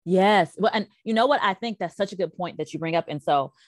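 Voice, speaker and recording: A middle-aged woman with a lowish voice talks as a podcast guest about her coaching work. She is emphatic and lively, often putting on little voices when she quotes people. The sound is close and dry with no room echo. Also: a little fast. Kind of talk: casual podcast conversation.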